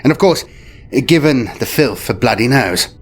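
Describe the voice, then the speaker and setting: A man's voice: mid-range voice, lively intonation, normal speed, voice pushed up. A man voicing a shrewd veteran fleet admiral in an audio war story, with clipped, declamatory military phrasing. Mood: grave, assured. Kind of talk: dramatic audio story character. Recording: music behind the voice. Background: music.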